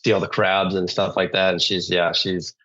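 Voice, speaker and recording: A man's voice: low-pitched, little pitch movement, moderate pace, a bit bright, muffled recording. A man in his thirties from Michigan, a podcast guest chatting easily and laughing often. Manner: easygoing, laughs often, many fillers. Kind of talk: casual interview chat.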